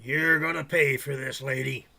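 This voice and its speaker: A man's voice: mid-range voice, steady tone, moderate pace, gravelly, background noise, close to an everyday voice. An actor playing a rude, angry old man making threats in a radio mystery drama. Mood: enraged.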